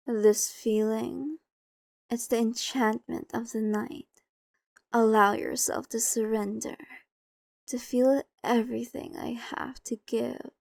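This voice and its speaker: A woman's voice: fairly high pitch, gravelly, darkish tone, no echo, studio quality, heavily acted. A woman playing a seductive, possessive, ancient vampire mistress in an ASMR roleplay, coaxing and condescending, sometimes slipping into whispers. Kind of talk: ASMR roleplay acting, addressed to the listener.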